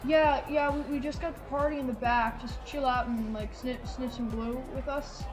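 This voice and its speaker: Stammering teen comedy role, played by a woman, high voice, amateur audio drama, music underneath. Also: fairly even intonation, normal speed, natural voice, poor audio. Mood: awkward, eager. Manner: stammering, repeats words.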